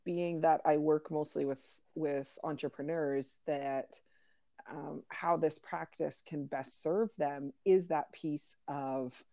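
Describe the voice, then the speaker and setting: A woman's voice: sits low, steady tone, takes it slowly, mellow, no echo, muffled recording. A woman hosting a casual mindfulness podcast, talking loosely with fillers and restarts. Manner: rambling, many fillers and restarts. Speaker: podcast host, coach to entrepreneurs.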